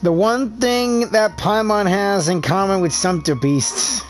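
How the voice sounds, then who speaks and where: A man's voice: high voice, a little slow, faint music behind. A man casually commentating on a video game playthrough and reading dialogue aloud in a laid-back way. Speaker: gaming video creator.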